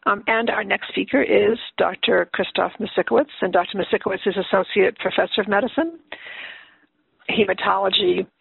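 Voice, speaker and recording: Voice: medium pitch, fairly quick, gravelly, slightly rough, no echo. A webinar moderator thanks the last speaker and formally reads out the next speaker's titles. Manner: polite, formal. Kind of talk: reading speaker introduction. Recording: thin phone-like webinar audio.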